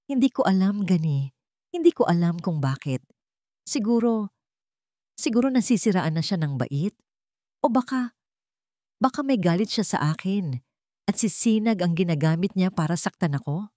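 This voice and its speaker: A woman narrator plays a manipulative woman in a romantic drama storybook. She pleads in halting, repeated phrases, pretending to be frightened and tearful. The sound is dry and close. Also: mid-range voice, expressive, warm tone, dry recording, natural voice. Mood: feigned tearful fear. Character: scheming woman feigning tearful fear, manipulative.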